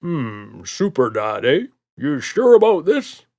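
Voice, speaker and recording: A man's voice: fairly high pitch, very animated, takes it slowly, a little mellow, pitched above the performer's own voice, full character voice. A man voicing a stout, friendly monster in a children's audiobook, chummy and full of confidence. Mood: unworried. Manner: jovial, chummy, self-assured.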